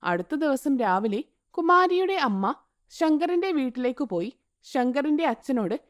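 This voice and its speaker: Woman storyteller, scripted narration, high voice, sing-song, dry close sound. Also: big pitch swings, unhurried, dry recording.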